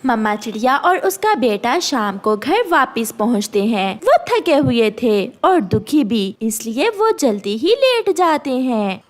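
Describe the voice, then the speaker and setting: Female narrator, slightly high pitch, animated intonation, scripted children's storytelling. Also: slow pace, hardly any pauses.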